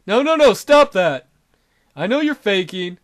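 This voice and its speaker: A man's voice: high voice, very animated, a little slow. A man voicing a self-absorbed, fatalist god who complains in a dramatic way. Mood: indignant.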